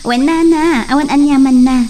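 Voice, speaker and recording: A woman's voice: sits high, steady tone, moderate pace, close to an everyday voice. A young woman playing a polite, reassuring young village woman. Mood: kind. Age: young adult. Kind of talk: amateur drama acting. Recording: distant mic with faint insect noise.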